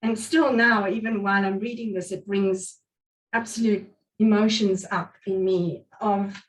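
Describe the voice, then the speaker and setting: A woman's voice: medium pitch, fairly even intonation, measured pace. Afrikaans-native woman, middle-aged, sick with covid, earnest speaker, distant mic. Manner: earnest, reflective, many restarts and fillers. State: has covid. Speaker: guest speaker. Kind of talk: motivational talk at a meetup.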